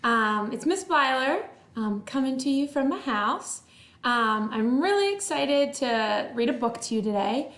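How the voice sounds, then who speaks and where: Female primary teacher, high-pitched, expressive storytime read-aloud for little kids, echoey home room. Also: unhurried. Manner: animated, expressive, sing-song delivery. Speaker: first-grade teacher. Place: indoors at home. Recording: roomy home recording with echo.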